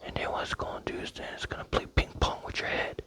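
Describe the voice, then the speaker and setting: A young man rambling playfully in a close-miked ASMR whisper, with many fillers. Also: quick pace. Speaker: ASMR creator.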